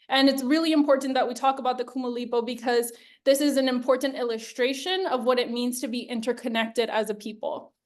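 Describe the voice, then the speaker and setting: A woman from Hawaii giving an earnest, explanatory webinar presentation, often pausing with "um" and "right". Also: fairly high pitch. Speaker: webinar speaker. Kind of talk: presentation talk.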